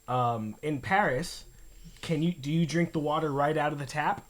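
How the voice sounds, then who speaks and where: A man's voice: fairly high pitch, normal speed. A man from New York co-hosting a casual interview over a video call, asking questions in an easygoing, chatty way. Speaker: co-host.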